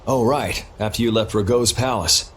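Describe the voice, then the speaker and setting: A man's voice: fairly low pitch, normal speed, slight background noise, close to an everyday voice. A man playing a blunt, determined young adventurer in a video game, casual and direct. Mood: surprised.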